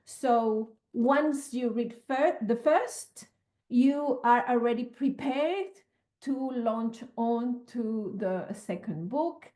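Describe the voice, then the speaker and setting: A woman from Venezuela with a Venezuelan accent, presenting as an author in a measured, conversational way. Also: fairly high pitch, mellow.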